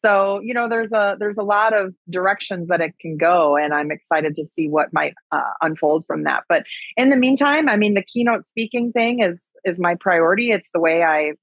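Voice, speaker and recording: A woman's voice: fairly low pitch, moderate pace, dry recording, highs cut off. A woman with a Wisconsin accent talking casually and chattily as a guest in an interview. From Wisconsin, USA. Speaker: podcast guest, agriculture advocate and speaker.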